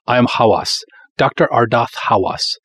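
A man's voice: mid-range voice, animated, normal speed, fairly bright tone, breathy edge, dry recording. A man reading the part of a short, squat, formal and pompous doctor in a story. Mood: formal, urgent.

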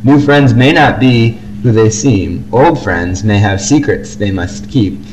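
A man's voice: lowish voice, unhurried, noisy background. A young man chatting casually as co-host of a fan podcast, on an amateur home recording.

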